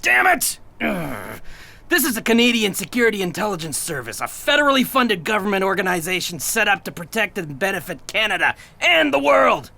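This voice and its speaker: An actor playing an irascible intelligence agency chief in a comic audio play, barking in exasperation over background noise. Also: male voice, sits high, sing-song, brisk, gravelly, pushed and bright, full character voice. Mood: exasperated. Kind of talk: comic sci-fi audio theatre.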